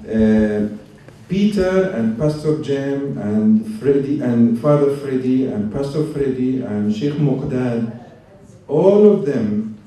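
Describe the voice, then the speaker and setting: Slow, very dark-voiced man in an echoey church. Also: mid-range voice, lively intonation. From outside Bahrain. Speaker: church event host introducing speakers. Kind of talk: informal public address. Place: large indoor church hall. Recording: very echoey church, distant mic.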